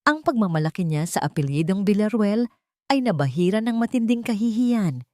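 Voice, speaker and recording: A woman reading a scripted romantic drama as narrator, measured and emphatic, building suspense. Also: mid-range voice, expressive, darkish tone, dry recording, clean studio sound. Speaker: story narrator.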